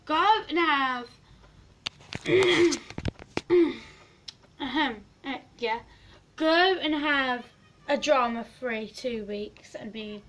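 Hoarse teenage girl rambling at a phone. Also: sits high, drawn-out words, long pauses, a little hiss or hum. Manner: rambling, giggly, drifts loud and soft. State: hoarse, losing her voice. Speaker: vlogger. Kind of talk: casual selfie vlog chat. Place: small bedroom indoors. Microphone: phone camera at arm's length.

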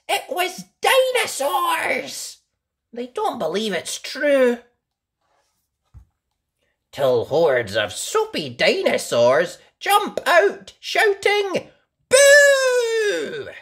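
Female children's story reader, slow and theatrical, with hugely varied intonation. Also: high-pitched, huge pitch swings. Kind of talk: expressive children's read-aloud.

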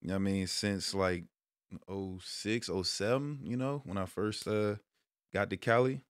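A man in his thirties from Brooklyn, hosting a casual sports talk stream from a small room at home, switching between loud hype and low muttered asides. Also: measured pace, clear, resonant tone, dry recording, studio quality. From Brooklyn, New York. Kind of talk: casual monologue to viewers.

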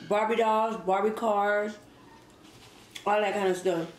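A woman's voice: mid-range voice, fairly even intonation, takes it slowly. Chatty woman eating in an echoey kitchen. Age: 30s. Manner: chatty, laughs often, talks while eating. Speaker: mukbang vlogger. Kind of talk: casual Q and A chat while eating. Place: home kitchen, indoors. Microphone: fixed camera across a table. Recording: echoey kitchen with faint ambient noise.

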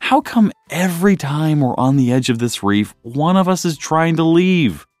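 A man's voice: a little high, lively intonation, moderate pace, darkish tone, no room sound, clean studio sound, pitched above the performer's own voice, natural voice. A man voicing an anxious, exasperated father clownfish in a storybook, with a pleading manner.

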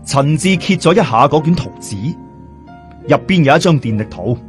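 A man's voice: fairly high pitch, lively intonation, normal speed, faint music behind. A man with a Hong Kong Cantonese accent narrating a story as a dramatic audiobook.

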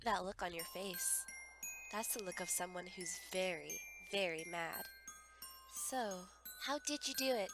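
A woman's voice: on the low side, measured pace, over loud music. A woman voicing a smug, murderous child with a mocking, gloating manner in a comic dub. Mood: taunting. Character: taunting murderous child, smug, cruel and knowing.

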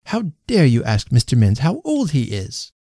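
A man's voice: mid-range voice, wildly swooping pitch, moderate pace, warm tone, slightly rough, dry recording, clean studio sound, heavily acted. A man voicing a proud, fussy mother who admonishes in a storybook reading. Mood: scolding. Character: proud, correcting mother of a small boy. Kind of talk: storybook character voice.

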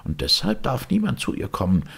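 Man putting on a high-voiced Turkish nursemaid. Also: wildly swooping pitch, brisk, gravelly, a bit bright, husky, full character voice. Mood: informative. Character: Turkish nursemaid, a woman, matter-of-fact informant.

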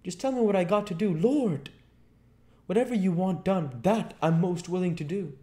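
Man acting flustered, high-pitched rustic tramp. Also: normal speed, warm tone, natural voice. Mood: cringing, eager. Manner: blustering, rustic, slangy exclamations. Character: flabbergasted, frightened, cringing tramp Mister Marvel.

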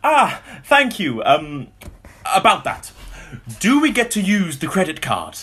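A man playing a husky, excitable brother. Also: high-pitched, a little slow, exaggerated voice. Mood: flustered. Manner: dithering, excitable. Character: inept, pompous, eager upper-class brother running antique shop. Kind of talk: comedy radio play acting.

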